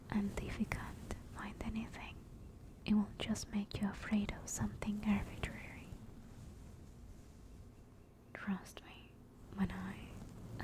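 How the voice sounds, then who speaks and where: Woman voicing a tender female sleep demon, steady whisper, unhurried, soothing, reassuring, ASMR roleplay. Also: medium pitch, close to an everyday voice, rough recording. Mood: calm, earnest. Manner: soft, slow, soothing, reassuring. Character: gentle, affectionate female sleep paralysis demon comforting someone.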